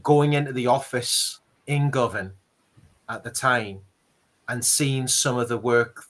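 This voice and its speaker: A man's voice: medium pitch, takes it slowly, a bit bright. A man with a Geordie accent hosting a casual interview, talking earnestly in long rambling sentences. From Northeast England. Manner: earnest, rambling, repeats words. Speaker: interview host, charity ambassador.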